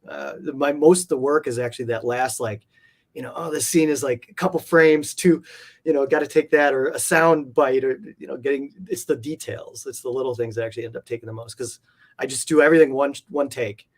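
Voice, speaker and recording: A man's voice: medium pitch, fairly even intonation, a little fast. A man chatting casually as an interview guest, with informal, loose phrasing. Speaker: interview guest, comic art collector. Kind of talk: casual chat.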